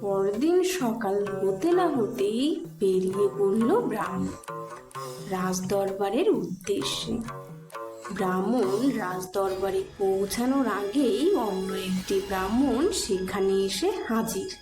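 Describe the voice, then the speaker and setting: A woman's voice: medium pitch, unhurried. A woman reading scripted storybook narration for a cartoon tale. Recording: echoey, clear music behind.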